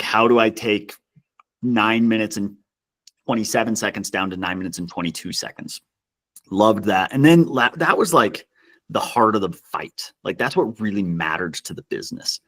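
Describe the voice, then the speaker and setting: A man speaking casually as an interview guest, telling stories in an animated, self-deprecating way. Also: on the low side. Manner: self-deprecating, chuckles as he talks. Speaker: podcast guest, customer success executive.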